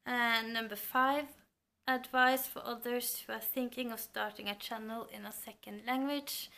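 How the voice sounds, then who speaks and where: A woman's voice: fairly high pitch, fairly even intonation, unhurried, vocal fry, pushed and bright. A woman in her thirties with a Norwegian accent, chatting to the camera at home about books, thoughtful and a little hesitant. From Norway. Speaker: book vlogger. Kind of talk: casual chat to camera. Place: home room lined with bookshelves. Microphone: camera at close range.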